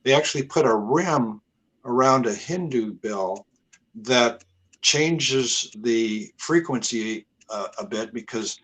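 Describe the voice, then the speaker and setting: A man's voice: mid-range voice, takes it slowly, a bit bright, poor audio. A man as a guest in a casual spiritual conversation on a video call, talking earnestly and hesitantly with fillers.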